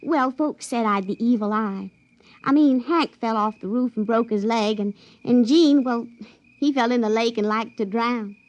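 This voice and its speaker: An actress as a high-voiced naive hill girl. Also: normal speed, a little mellow, no room sound, lightly acted. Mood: shy, tender. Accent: American rural hill-country. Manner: folksy, hesitant, guileless. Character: naive, lovestruck young backwoods hill girl, moody and plaintive. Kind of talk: 1950s radio drama acting. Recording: dry, dull vintage radio recording.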